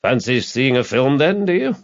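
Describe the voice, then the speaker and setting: Narrator's guarded, protective detective dad, lively pitch. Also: male voice, normal speed, lo-fi sound. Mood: casual. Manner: reassuring, terse, fatherly. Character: guarded, protective middle-aged police detective father. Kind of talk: audiobook character dialogue.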